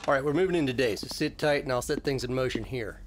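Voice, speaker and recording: An actor playing a steady, fatherly police captain in an audio drama, businesslike and plain-spoken with a gravelly voice. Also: mid-range voice, fast, a little hiss or hum, natural voice. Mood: brisk, official.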